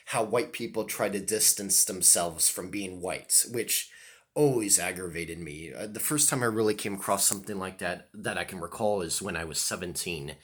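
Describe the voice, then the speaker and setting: A man's voice: sits low, fairly bright tone, slightly rough. A man, talking to the camera in a loose, rambling monologue, full of ums and restarts. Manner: hesitant, many ums and restarts. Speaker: vlogger.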